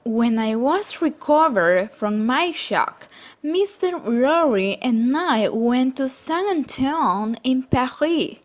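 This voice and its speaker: A woman's voice: high voice, lively intonation. A woman playing a tender young daughter who tells her own story in a scripted amateur dramatization of a classic novel. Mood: measured, recounting. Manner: slow, deliberate reading when narrating.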